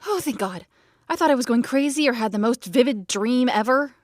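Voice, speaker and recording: A woman's voice: a little high, lively intonation, fairly quick, a little mellow, no room sound, clean studio sound, lightly acted. A woman playing a wry, dreamy teenage girl in an audio drama. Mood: relieved.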